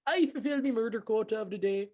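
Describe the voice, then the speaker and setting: A woman's voice: fairly high pitch, a little mellow, muffled recording, lightly acted. A woman playing a gleeful killer leprechaun. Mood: taunting. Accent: put-on Irish. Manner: taunting, gleeful, slow. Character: menacing Irish leprechaun serial killer, male. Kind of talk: comedy sketch acting.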